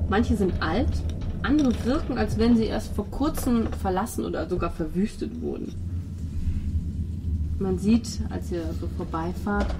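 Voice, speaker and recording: A woman's voice: medium pitch, a little slow, music in the background. A woman narrating a tabletop roleplaying game as its game master, describing scenes to the players.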